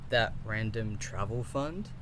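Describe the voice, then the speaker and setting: A man's voice: fairly low pitch, slow pace, fairly bright tone, a little hiss or hum. A man acting as a curious, cautious researcher in an audio drama, hesitant and thinking aloud in short phrases. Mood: reminded, pleased. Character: curious, cautious male researcher and code developer, encouraging.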